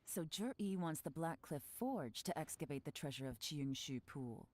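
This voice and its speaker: An actress playing a shrewd, calm investigator in a video game, speaking in a measured, knowing, deliberate way. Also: female voice, low voice. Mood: composed, analytical. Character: composed, shrewd woman investigator, calm and analytical. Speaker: video game story character. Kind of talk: scripted game dialogue.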